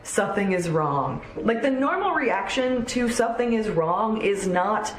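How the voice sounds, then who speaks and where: A woman's voice: medium pitch, big pitch swings, unhurried, slight background noise. Woman, 30s, vlog host; candid, enthusiastic, a bit congested; echoey room. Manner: chatty, candid, warmly enthusiastic. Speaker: vlogger host. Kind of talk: casual personal vlog.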